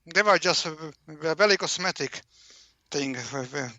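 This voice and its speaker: A man explaining as an interview guest, halting and repeating words over and over as he searches for the next one. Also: a little high, pushed and bright, no echo. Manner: halting, heavily stammered repetitions of words. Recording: dull, lo-fi call-in audio.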